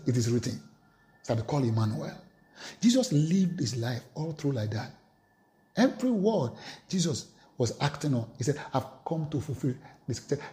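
A man's voice: a little high, dark tone. A man preaching a sermon, reading scripture aloud with emphatic, rising delivery.

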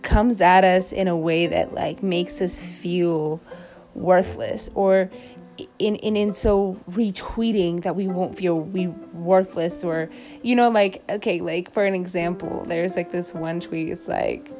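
A woman's voice: on the low side, fairly even intonation, slow, a little mellow. A young woman hosting her first podcast late at night, musing aloud in a tired voice and rambling with many fillers and restarts. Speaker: podcast host. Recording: clear music playing behind.

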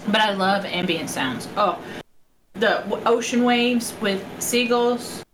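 A woman co-hosting a casual podcast chat, bubbly and quick to laugh, with a shrill voice. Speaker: podcast co-host. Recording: echoey room with background noise.

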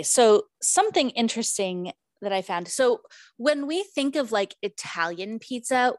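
A woman's voice: mid-range voice, dry recording. A woman co-hosting a casual podcast, talking in a chatty way with many fillers and restarts. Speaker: podcast co-host. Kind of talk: casual chat.

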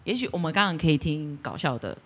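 Warm, animated Taiwanese woman chatting. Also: sits low, fast talker, slightly rough. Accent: Taiwanese Mandarin. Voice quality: warm, soothing. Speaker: podcast guest. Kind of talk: casual chat.

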